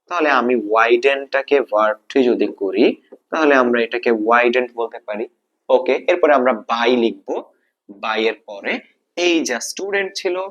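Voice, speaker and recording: A man's voice: on the high side, fairly bright tone. A man with a Bangladeshi accent teaching English grammar step by step, often repeating points and posing questions he then answers. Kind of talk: explanatory exam-prep lesson, step by step.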